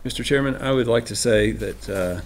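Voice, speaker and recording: A man on a board making formal, deliberate remarks at a public meeting. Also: medium pitch. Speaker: board member. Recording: noisy meeting room recording.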